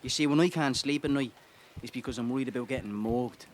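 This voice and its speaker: A man's voice: mid-range voice, fast talker, lightly acted. A man acting a desperate homeless Irish father in a radio play, pleading, exasperated and prone to swearing. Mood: bitter, frustrated.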